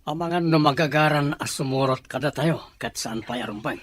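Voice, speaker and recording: A man playing the authoritative chief of an armed band in an Ilocano audio drama, husky, measured and commanding. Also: on the high side, fairly bright tone, no room sound.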